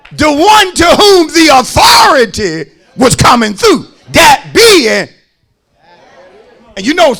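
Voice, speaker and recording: An American man preaches as a pastor in a high, raspy voice with a forceful edge. His delivery is slow and emphatic, full of folksy repetition. It swings widely between hushed asides and full-throated shouting. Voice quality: raspy, gravelly. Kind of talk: fervent sermon.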